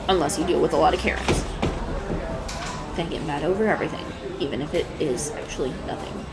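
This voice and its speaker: A woman's voice: fairly low pitch, rough recording. A woman playing a shy, anxious, adorkable young woman in an intimate roleplay audio, rambling hesitantly and stammering. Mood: warming up, rambling. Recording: clear background coffee-shop ambience noise. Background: coffee shop ambience sound effects.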